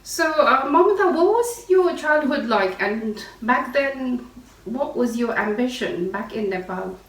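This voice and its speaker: Host: woman, mid-pitched, chatty with lots of ums, distant roomy sound. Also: expressive, unhurried. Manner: friendly, filler-heavy questioning. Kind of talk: casual interview. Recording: echoey room, mic at a distance.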